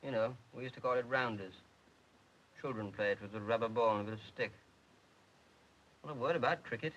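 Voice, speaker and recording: An actor playing a grumbling middle-aged cricket fan, making disdainful remarks in a 1930s film. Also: male voice, medium pitch, a little fast, a bit bright, a little hiss or hum, lightly acted. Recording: dull lo-fi 1930s soundtrack.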